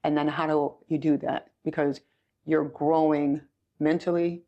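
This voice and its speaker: A woman's voice: low voice, little pitch movement, unhurried, warm tone, lo-fi sound. A woman of sixty telling her story conversationally as an interview guest, earnest and repeating phrases for emphasis. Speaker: chief executive, podcast guest.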